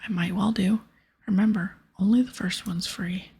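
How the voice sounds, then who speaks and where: A woman voicing a cheeky, shifty male pirate who was once a priest, in a breathy whispered game reading. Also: mid-range voice, steady tone, moderate pace, a little mellow. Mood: sly. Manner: sly, offhand.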